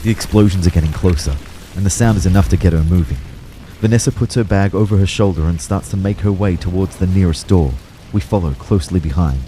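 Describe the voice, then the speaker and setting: A man's voice: deep voice, quick pace, slight background noise, close to an everyday voice. A young man playing an anxious narrator of his own out-of-body ordeal in an audio drama. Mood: tense, reflective. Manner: expressive, shifting from hushed narration to urgent pleading. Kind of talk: first-person audio drama narration with acted dialogue.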